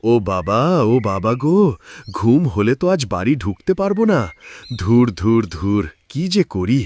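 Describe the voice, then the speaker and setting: A man voicing a lazy, sleepy, good-natured farmer in a cartoon moral story, with whiny, drawn-out exclamations. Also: fairly low pitch, big pitch swings, a little mellow. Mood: sleepy complaining. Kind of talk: animated moral story voice acting.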